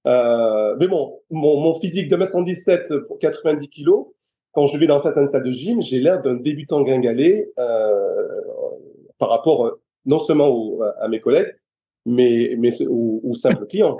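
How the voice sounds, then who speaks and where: Mellow-voiced French man, hesitant. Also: medium pitch, lively intonation, normal speed. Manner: hesitant, many restarts and fillers. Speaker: guest, personal trainer. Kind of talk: casual interview chat.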